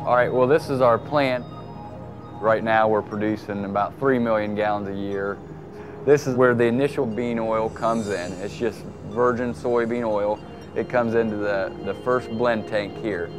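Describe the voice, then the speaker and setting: Young man, plant tour guide, plain explanation, low voice, indoors, music behind. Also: steady tone, normal speed. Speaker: plant worker giving a tour. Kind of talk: casual explanation. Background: background music.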